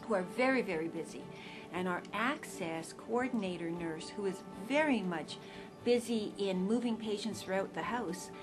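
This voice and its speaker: A woman's voice: mid-range voice, normal speed, low-quality recording. A woman in hospital management giving an explanatory testimonial interview. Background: music.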